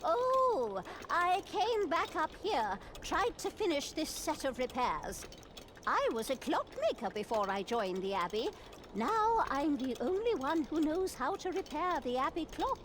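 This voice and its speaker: A woman voicing a slightly deaf nun clockmaker in a video game, distracted, gentle and apologetic as she works. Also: sits high, very animated, unhurried, slight background noise. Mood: puzzled, chatty. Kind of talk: video game character dialogue.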